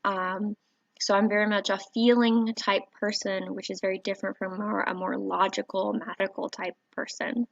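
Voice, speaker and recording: A soft-spoken woman, a teacher, gently walking viewers through a website on screen, with a warm voice and frequent fillers. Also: mid-range voice, level tone, moderate pace, vocal fry, no echo. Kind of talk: screen-recorded tutorial walkthrough. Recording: dry, slightly dull home recording.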